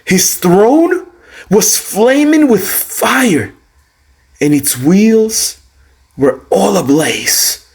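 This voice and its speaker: A man's voice: high voice, sing-song, very slow, husky. A man reading scripture dramatically in a solemn, declamatory manner, stretching out phrases for emphasis. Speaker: student reader of scripture.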